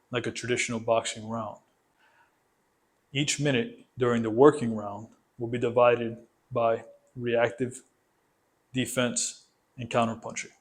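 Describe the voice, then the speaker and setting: A man coaching a boxing workout, explaining it methodically, step by step, in an instructional style. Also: mid-range voice.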